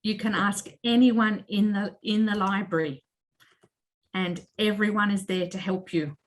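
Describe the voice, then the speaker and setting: A woman's voice: mid-range voice, steady tone, unhurried. A woman hosting an online library session in an informal, conversational style. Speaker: library host and moderator.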